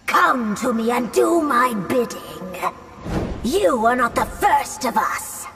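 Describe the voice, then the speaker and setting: A woman's voice: fairly high pitch, animated, slow pace, a bit bright, exaggerated voice. A woman playing a ruthless, commanding, cruel warrior in a video game. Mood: menacing. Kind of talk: video game character dialogue. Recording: distant, reverberant hall, faint music.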